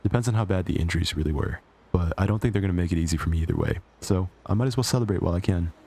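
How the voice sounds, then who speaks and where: Casting: male actor for cocky villain with a soft spot, low and creaky, conversational, sarcastic, close ASMR roleplay. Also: rapid-fire. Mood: wry, teasing. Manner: teasing, sardonic, conversational. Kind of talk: intimate ASMR roleplay acting.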